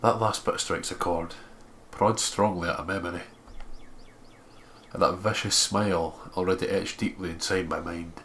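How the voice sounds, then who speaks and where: A man's voice: low-pitched, steady tone, normal speed, a bit bright, slight background noise. A man reading a visual novel aloud as narration, expressively, with casual asides.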